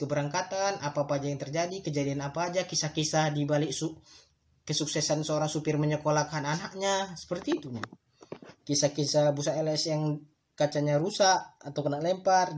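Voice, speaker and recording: Reflective male podcast host, measured delivery. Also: on the high side, a little hiss or hum. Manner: thoughtful and explanatory, stretching key words for emphasis; addresses listeners directly, with a moralizing tone. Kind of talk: solo podcast commentary.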